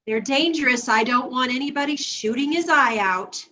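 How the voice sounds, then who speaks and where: A woman's voice: high voice, moderate pace, bright, forceful tone. A woman playing a practical, protective, fretting mother in a readers theatre comedy. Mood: cautioning.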